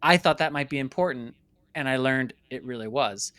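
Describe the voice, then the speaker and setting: A man in his late thirties from New York City, an interview guest who talks in an emphatic, animated storytelling way and voices imagined dialogue. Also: medium pitch, moderate pace, clean tone, no room sound. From New York City, United States. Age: late 30s. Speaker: interview guest, filmmaker and company founder.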